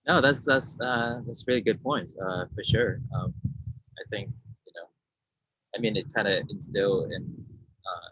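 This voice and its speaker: Low, husky, hesitant man on muffled call. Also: a little slow, fairly bright tone. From Vietnam. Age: 30s. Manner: hesitant, searching for words. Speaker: artist being interviewed. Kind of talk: informal talk about own artwork. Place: indoor room, on a video call. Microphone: video-call microphone. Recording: muffled, roomy video-call audio.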